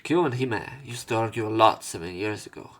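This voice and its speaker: A man's voice: on the low side, brisk. A man doing let's play commentary, reading game text aloud and adding informal, rambling, hesitant remarks. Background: soft game music.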